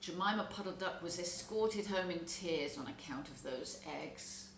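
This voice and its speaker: A woman reading a children's story aloud as an expressive narrator. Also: on the low side, measured pace. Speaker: storybook reader. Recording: roomy echo, background noise.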